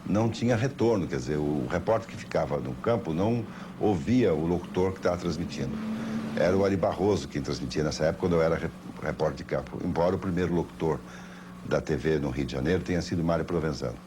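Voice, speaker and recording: An older Brazilian man with a very deep voice reminisces like a seasoned sports broadcaster. He talks quickly and tells his anecdotes with emphasis. The sound is an old television tape with clear background noise. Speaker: veteran sports broadcaster giving testimony. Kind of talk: anecdotal interview recollection.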